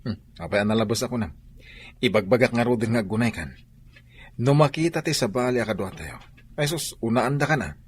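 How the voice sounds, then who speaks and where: A male actor with a Filipino accent playing a cocky, playful young soldier in a radio drama, bantering in a husky voice. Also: mid-range voice, a little fast, close to an everyday voice. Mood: teasing banter. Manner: animated, bantering.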